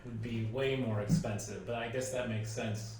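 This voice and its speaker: A man's voice: mid-range voice, fairly even intonation, normal speed, a little mellow. A man hosting an interview, curious and chatty with his guests. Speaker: interviewer, host. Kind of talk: casual interview. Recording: very echoey, mic far off, room noise.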